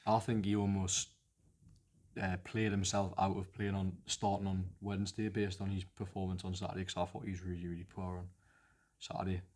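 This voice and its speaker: A man with a Geordie accent co-hosts a football podcast, his voice hoarse and cracking from flu. He speaks very low and in a flat monotone, hesitating and restarting as he pushes through. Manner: halting, hesitant, restarts often. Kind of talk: casual football analysis chat.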